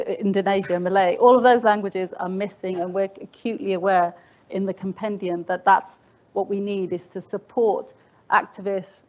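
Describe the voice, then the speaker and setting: A woman's voice: medium pitch, a little mellow. A woman with a mid-range voice speaks earnestly on a conference panel. She restarts phrases now and then, and the recording sounds dull and lo-fi. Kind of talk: conference remarks. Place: conference hall.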